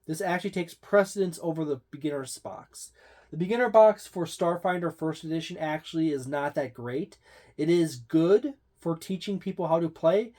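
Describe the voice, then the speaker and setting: Man, channel host, casual review, rambling with lots of fillers, dark mellow tone. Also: on the high side, moderate pace. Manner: rambling, many "uh" fillers and restarts. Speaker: tabletop game channel host.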